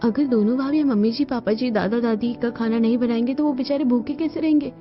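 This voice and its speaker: A woman voicing a selfless, accommodating young daughter-in-law in a cartoon family drama, with a gentle, reassuring manner. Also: a little high, brisk, highs cut off, close to an everyday voice. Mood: concerned. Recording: band-limited audio with music behind.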